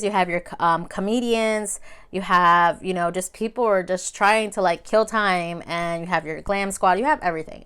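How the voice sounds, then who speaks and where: Smooth-voiced chatty woman, native Spanish speaker. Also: mid-range voice, fairly bright tone. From Mexico. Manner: conversational, repeats phrases for emphasis. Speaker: video creator. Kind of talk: casual chatty advice vlog.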